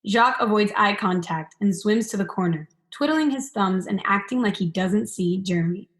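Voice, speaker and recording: A woman's voice: medium pitch, moderate pace, natural voice. A young woman reading stage directions as scripted narration for a radio play. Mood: descriptive. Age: young adult.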